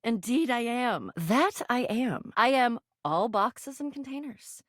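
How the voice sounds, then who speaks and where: A woman voicing an exuberant, pompous adventurer spirit of boxes and containers in a video game, verbose and excitable in a theatrical style. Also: fairly high pitch, slow pace, no room sound, clean studio sound, full character voice. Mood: boastful. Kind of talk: theatrical game character dialogue.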